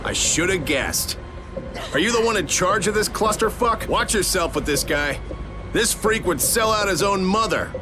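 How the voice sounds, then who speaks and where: A man's voice: high voice, animated, moderate pace. A man playing a hostile, scornful survivor who sneers and swears in a video game. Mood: contemptuous. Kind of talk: video game cinematic acting. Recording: music behind the voice.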